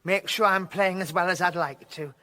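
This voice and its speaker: A man's voice: sits high, normal speed, pushed and bright. An actor playing a camp, flirtatious, fussy male village organist in a radio drama, with a theatrical, coy, giggly way of talking. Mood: breezy, proud.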